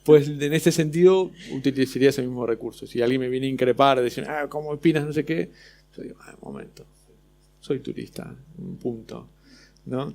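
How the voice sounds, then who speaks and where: A man's voice: medium pitch, normal speed, gravelly, darkish tone. A man with an Argentine accent speaking on an illustrators' panel, hesitant, with many restarts. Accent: Argentine Spanish. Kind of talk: casual panel discussion.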